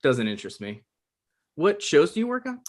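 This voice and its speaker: A man's voice: on the high side, huge pitch swings, moderate pace. Man acting out awkward, evasive guy in his thirties. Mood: awkward, defensive. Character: awkward, evasive 35-year-old man bluffing on a date. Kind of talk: comedy table read.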